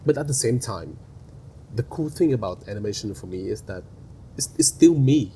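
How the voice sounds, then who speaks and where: A man with a Vietnamese accent talks earnestly as a podcast guest. His voice sits fairly low and mellow. He often repeats words while he searches for the next one. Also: animated, moderate pace, slight background noise. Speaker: animation studio head, guest. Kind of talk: podcast interview.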